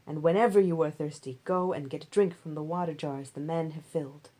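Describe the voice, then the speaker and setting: A woman voicing a kindly, protective male landowner in a Bible story, giving measured, reassuring instructions. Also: deep voice, mellow, rough recording.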